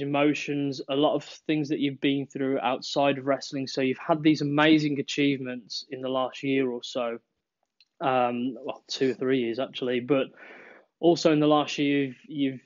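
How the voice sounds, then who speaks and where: A man hosting a podcast, asking interview questions in a measured way with frequent fillers. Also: mid-range voice. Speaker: podcast host. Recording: dull lo-fi podcast audio.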